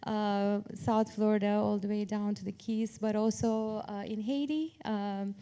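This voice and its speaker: A woman's voice: mid-range voice, steady tone, measured pace, warm tone, smooth. A woman from Turkey speaking as an academic on a panel, explaining her approach in an informal, structured way. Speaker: academic panelist, professor of public policy.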